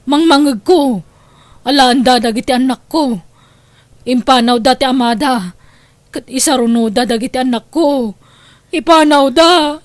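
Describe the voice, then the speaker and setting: A woman's voice: high voice, measured pace, long pauses, no echo. A woman with an Ilocano accent playing a fearful, distraught mother in an audio drama, insistent and plaintive. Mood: fearful, stubborn. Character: distraught, fearful young mother clinging to her children.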